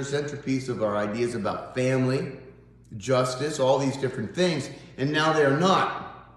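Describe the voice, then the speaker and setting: Folksy Southern pastor in an echoey church. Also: male voice, medium pitch. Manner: folksy, conversational, animated. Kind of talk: informal morning devotional. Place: small church sanctuary, indoors. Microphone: phone camera on a tripod, close up.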